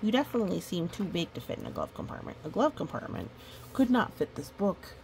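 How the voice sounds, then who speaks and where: Very expressive, low-pitched woman reading storytime. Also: brisk, creaky, a little hiss or hum, rough recording. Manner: playful, expressive, talking to characters and listeners. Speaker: storytime reader. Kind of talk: picture-book read-aloud with chatty asides.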